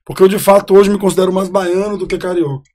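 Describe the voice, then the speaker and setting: A man in his forties from Rio de Janeiro, a guest telling his life story in a relaxed, talkative way on a podcast. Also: high-pitched, fast. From Rio de Janeiro, Brazil. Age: 40s. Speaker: guest, city councillor. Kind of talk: casual storytelling interview.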